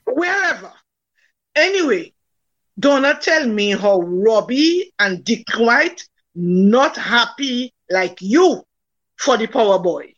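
Woman playing a blunt, slow-talking Dominican village sceptic. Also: fairly high pitch, big pitch swings, highs cut off, heavily acted. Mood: sceptical, teasing. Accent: Dominican Caribbean. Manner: dry, mocking, unhurried. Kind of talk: dramatised dialogue in Caribbean creole English.

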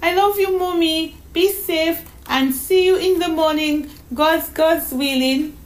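A woman's voice: extremely high pitch, slow pace, pitched above the performer's own voice. A woman voicing a sweet, eager young girl in a story, hesitant and often stammering over repeated words. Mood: affectionate. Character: excited, sweet young girl on her birthday.

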